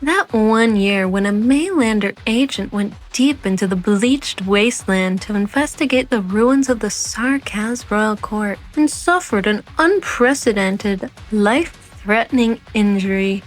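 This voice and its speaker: A woman's voice: mid-range voice, animated, a little slow, hardly any pauses, close to an everyday voice. A woman voicing a smug, mockingly sweet assassin with a teasing, self-assured drawl in a fan-dubbed game drama. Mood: taunting. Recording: background music under the voice.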